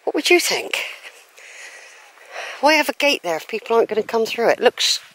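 A woman's voice: on the high side, very animated, moderate pace, a bit bright, no room sound, rough recording. Lady vlogging on a country walk, wondering aloud which way to go, chatty, a bit sighy. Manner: thinking aloud, musing and questioning. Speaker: hiking vlogger. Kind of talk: chatty talk to camera while walking. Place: outdoors on a hillside countryside trail. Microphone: handheld camera.

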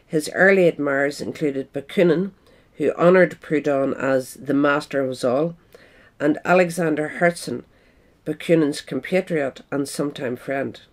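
A woman's voice: deep voice, moderate pace. A woman reading scripted narration, as in an audio essay. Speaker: reader.

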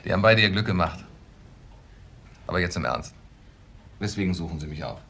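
An actor playing a suave, slippery ex-convict in a crime drama, talking with courteous polish. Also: male voice, sits low, expressive, fairly quick, bright, forceful tone, full character voice. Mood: guarded, amused. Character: suave, slippery male ex-convict acting respectable. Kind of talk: German TV crime drama dialogue. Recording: echoey room, faint background noise.

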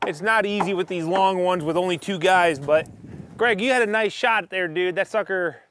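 A man in his thirties casually explaining his building work as he does it, relaxed and chuckling now and then, recorded outdoors on a windy site. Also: high-pitched, moderate pace. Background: wind and machinery.

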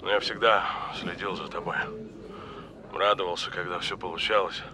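An actor playing an ailing, regretful rock musician father, halting and urgent, in an audio drama. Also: low voice, steady tone, crowd noise, close to an everyday voice.

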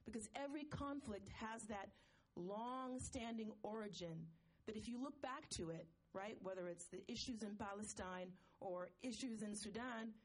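A woman in the audience of a panel discussion, making a long, earnest comment in a measured way. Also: medium pitch, poor audio. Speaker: audience member asking a question. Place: conference hall audience.

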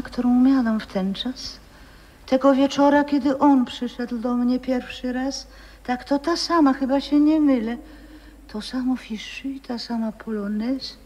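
Frail old grandmother, husky, slow, whispery, dreamy, old radio play with long reverb. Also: sits high, mellow, highs cut off. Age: older. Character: frail old grandmother, once a stage singer. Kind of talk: 1960s radio play acting.

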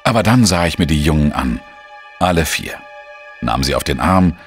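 A world-weary investigator in a crime audio drama, with a low-pitched voice. He narrates his own case in measured, introspective sentences. Music plays clearly behind him. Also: animated. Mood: subdued.

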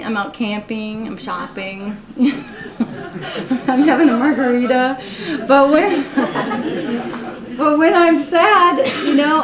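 Candid woman artist in a roomy gallery. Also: a little high. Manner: candid, laughs often, many "you know"s. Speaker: artist giving a gallery talk. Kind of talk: casual talk on her own artworks. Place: college art gallery. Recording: roomy live recording with faint noise.

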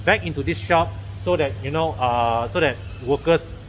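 A man's voice: on the high side, normal speed, faint music behind. Malaysian man vlogging in dull phone audio. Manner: frequent agreeing interjections, repeats words. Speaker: vlog host and car wash owner. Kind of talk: casual chat to camera and informal interview. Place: open-sided auto workshop and renovation site. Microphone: phone at arm's length. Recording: dull phone audio, faint workshop noise. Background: faint vehicles and workshop ambience.

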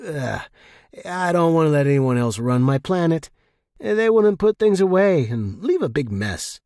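A man's voice: fairly high pitch, big pitch swings, unhurried, darkish tone, no room sound, pitched above the performer's own voice. A man playing a joking, solitary space traffic controller with dry banter in a story. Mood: mock grand.

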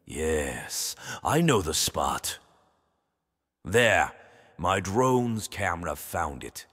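Smug tyrant for audio drama, played by a man: lowish, theatrical, menacing, in a big reverberant space. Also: very animated, extremely slow, heavily acted. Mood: coldly menacing. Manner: mock-polite, theatrical, switching from exasperated to coldly quiet. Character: menacing, smug dictator of the world, ruthlessly calm. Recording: long reverberant hall.

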